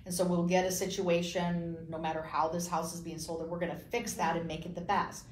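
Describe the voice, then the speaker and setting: A woman's voice: lowish voice, level tone, a little fast. A woman realtor speaking as a guest in a casual interview, reflective and often trailing off mid-sentence. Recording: echoey room with faint noise.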